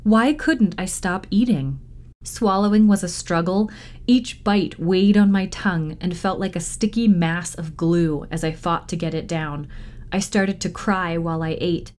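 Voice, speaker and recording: A woman reading a children's novel aloud in expressive storytime narration. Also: on the low side, a little slow. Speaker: library storytime reader.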